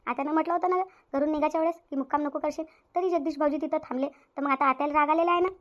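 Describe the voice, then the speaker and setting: A woman's voice: high voice, fairly even intonation, racing, highs cut off, lightly acted. A woman voicing a harried, dutiful elder sister-in-law in a Marathi cartoon, resigned and chatty, with a rural Varhadi accent. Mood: resigned worried. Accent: rural Maharashtra, Varhadi Marathi. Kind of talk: domestic cartoon story dialogue.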